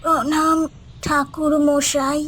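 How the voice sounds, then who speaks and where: A woman voicing a humble village mother in a cartoon moral story, with halting, pleading delivery. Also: high voice, little pitch movement, fairly bright tone. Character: humble village mother seeking a groom for her daughter.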